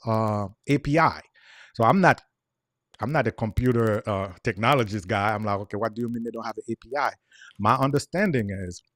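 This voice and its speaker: Haitian man, 40s, thick Creole accent, low voice, earnest guest on a talk show, dead dry sound. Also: no room sound. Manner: earnest, repeats words while explaining. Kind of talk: casual interview chat.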